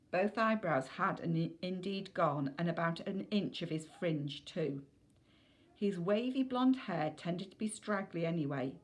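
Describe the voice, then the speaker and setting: A woman reading a children's novel aloud as scripted narration. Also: lowish voice.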